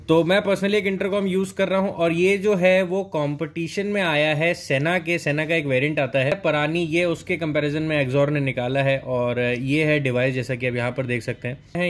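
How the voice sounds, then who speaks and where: A young man doing a casual, explanatory unboxing narration, mixing English words into his speech. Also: on the high side. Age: young adult. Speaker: product reviewer at a riding gear store. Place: indoors in a helmet and riding gear store. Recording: noticeable background noise, store ambience.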